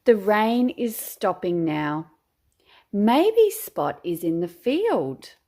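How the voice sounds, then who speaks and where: An Australian woman, a teacher, reading a children's picture book aloud with deliberate, expressive phrasing. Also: medium pitch. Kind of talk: children's storytime read-aloud.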